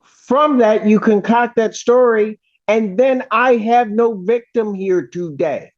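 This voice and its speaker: A woman's voice: a little high, takes it slowly. An angry woman judge speaking sternly from the bench, scolding bluntly and emphatically. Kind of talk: stern courtroom address. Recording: dull, lo-fi courtroom audio.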